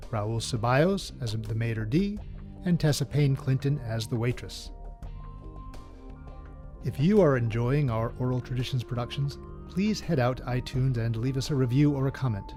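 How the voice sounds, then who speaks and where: A man's voice: medium pitch, darkish tone. A man announcing scripted credits for an audio drama.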